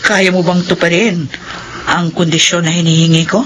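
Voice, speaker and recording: An actress playing a firm, encouraging boss in a radio drama, speaking with authority. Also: female voice, lowish voice, level tone, moderate pace, fairly bright tone, slight background noise, lightly acted, low-quality recording. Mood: businesslike.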